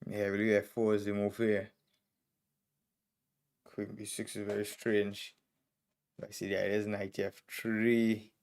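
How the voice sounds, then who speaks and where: A man's voice: lowish voice, completely flat delivery, unhurried, long pauses, a little mellow. A man from Trinidad and Tobago with a Trinidadian accent, streaming live and thinking aloud as he reviews chess games move by move. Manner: thinking aloud, calling out moves in short bursts.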